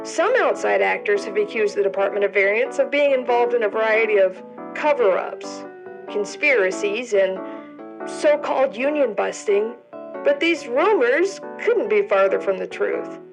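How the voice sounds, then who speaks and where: A woman's voice: medium pitch, animated, normal speed, gravelly, over music, low-quality recording. A woman playing a polished orientation video narrator, over-enunciating an official script in an audio drama. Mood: chipper, official. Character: cheery female corporate orientation narrator, privately exasperated.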